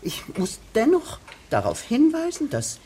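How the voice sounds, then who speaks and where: A woman's voice: on the high side, huge pitch swings, measured pace, warm tone, slight background noise. An actress playing a pompous, verbose and officious female village administrator in a radio drama. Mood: fussy, insistent.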